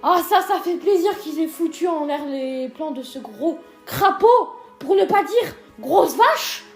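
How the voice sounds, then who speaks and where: A woman's voice: very high-pitched, lively intonation, unhurried, soft background music. A woman co-hosting a casual ranking video, teasing and joking with lots of hesitant fillers. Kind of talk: casual scripted chat.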